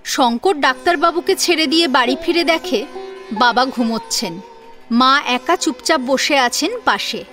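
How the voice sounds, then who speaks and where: High-voiced woman narrating a story. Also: moderate pace, a bit bright. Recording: music under the voice.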